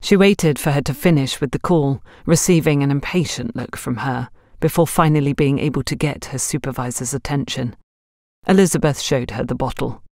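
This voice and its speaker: A woman's voice: extremely low pitch, normal speed, a little mellow, no room sound, studio quality. A woman reading a scripted story as an audiobook narrator.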